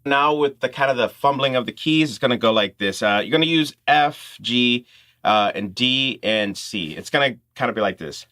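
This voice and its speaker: A man's voice: medium pitch, lively intonation, normal speed, sharp tone. A man hosting an informal music tutorial, explaining things step by step in instructional talk.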